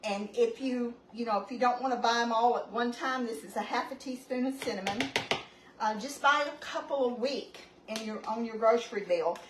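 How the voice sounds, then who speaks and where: A woman's voice: a little high, normal speed. Chatty woman in a roomy kitchen. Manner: chatty, rambling, homey asides. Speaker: home cooking channel host. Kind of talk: casual cooking demonstration. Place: home kitchen. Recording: roomy, distant, noisy home recording.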